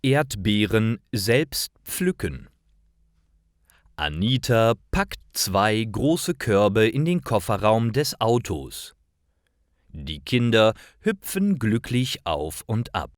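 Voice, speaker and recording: Slow, lively low-pitched male storyteller. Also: no echo, studio quality. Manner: slow, careful, expressive enunciation. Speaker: narrator of stories for German learners. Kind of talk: scripted storytelling for language learners.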